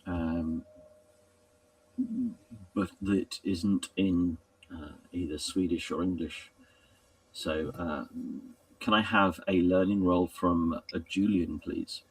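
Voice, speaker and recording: A man improvising narration as a tabletop game master in a halting, hesitant way, often pausing mid-sentence. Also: low voice, steady tone, dry recording, far from the mic, rough recording.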